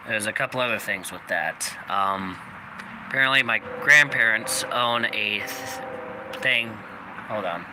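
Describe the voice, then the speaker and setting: A young man hosting a channel, giving a rambling casual update with many fillers, his voice slightly raspy from coughing. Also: on the low side. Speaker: channel host. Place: outdoors, people walking by. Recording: noisy outdoor recording.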